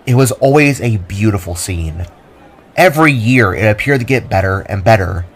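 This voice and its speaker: A man's voice: on the low side, lively intonation, normal speed, slightly rough. A man narrating his own audio drama in a descriptive storytelling style. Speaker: narrator and writer.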